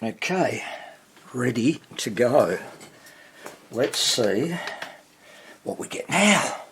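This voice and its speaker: A man giving casual, hands-on repair commentary as he hosts a video, thinking aloud in a husky voice. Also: mid-range voice, very animated, extremely slow, fairly bright tone, slight background noise. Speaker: vintage radio repair hobbyist hosting video.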